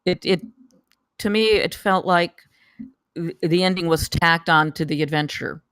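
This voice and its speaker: A person giving casual spoken feedback on a script, haltingly, often repeating words and phrases. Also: medium pitch, a bit bright, smooth, no echo. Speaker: table read participant giving script feedback.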